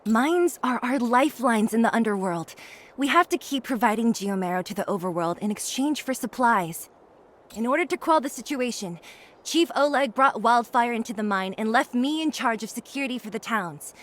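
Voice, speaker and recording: Woman acting a blunt young woman fighter, higher-pitched. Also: lively intonation, normal speed, natural voice. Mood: serious, brisk. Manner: curt, no-nonsense. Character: brisk, blunt young woman fighter guarding an underground town. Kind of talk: video game voice acting.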